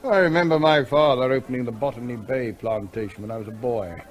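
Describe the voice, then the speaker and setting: An actor playing a breezy, unbothered middle-aged country landowner in a radio drama, dry and offhand in manner. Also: male voice, mid-range voice, a little fast, lightly acted. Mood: offhand, dismissive. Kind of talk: naturalistic radio drama acting.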